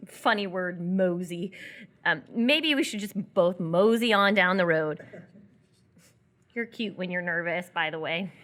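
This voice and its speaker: Woman acting bright, teasing, coy young woman. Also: fairly low pitch. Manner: playful, evasive, hesitant pauses. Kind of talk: live radio theatre dialogue.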